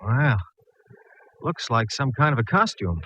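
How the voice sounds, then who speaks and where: An actor playing an easygoing homicide inspector in a 1940s radio detective drama, dryly joking and familiar in manner. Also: male voice, mid-range voice, sing-song, measured pace, pushed and bright. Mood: businesslike. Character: easygoing, dry male homicide police inspector. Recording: muffled, faintly hissy old radio recording.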